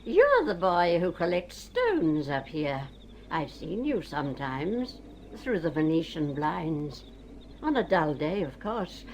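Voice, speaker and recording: A woman's voice: sits low, sing-song, takes it slowly, slight background noise, exaggerated voice. An actress playing a lonely, eerie old woman in a radio drama, crooning and wheedling as she talks. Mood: probing, musing. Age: older.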